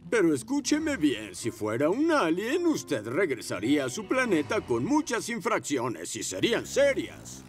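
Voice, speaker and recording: A man's voice: on the high side, huge pitch swings, measured pace, few pauses. A man voicing an officious adult police motorcycle who hands out tickets, in a cartoon dub with music behind. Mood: stern. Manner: officious, stickler for rules.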